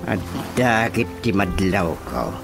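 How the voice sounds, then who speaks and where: Animated, slow-talking village chairman. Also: deep voice, exaggerated voice. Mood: puzzled, probing. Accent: Filipino. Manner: animated, exclaiming, teasing. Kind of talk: radio drama comedy acting. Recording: radio drama with music underneath. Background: clear music.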